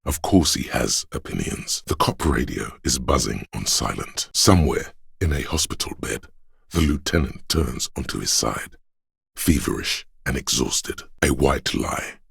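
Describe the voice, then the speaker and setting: A man playing a solemn inner voice that narrates a police video game in a measured, literary way, deep and gravelly. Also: animated, a bit bright, no room sound, clean studio sound, exaggerated voice. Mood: grave, ominous. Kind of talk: literary second-person scripted narration.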